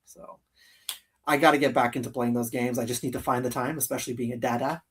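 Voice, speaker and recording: A man's voice: medium pitch, steady tone, fast, fairly bright tone, recorded from a distance, a little hiss or hum. A man hosting a casual gaming livestream, talking enthusiastically and repeating phrases for emphasis. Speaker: host of a live gaming countdown show. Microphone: streaming call microphone.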